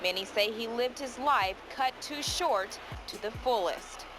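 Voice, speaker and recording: Female news reporter, raised pitch, scripted report delivery, clear music bed. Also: unhurried.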